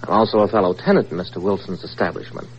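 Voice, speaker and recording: An actor playing a cocky newspaper reporter with a mocking, theatrical manner in an old radio thriller. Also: male voice, deep voice, racing, slightly rough. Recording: muffled old radio recording, faint music.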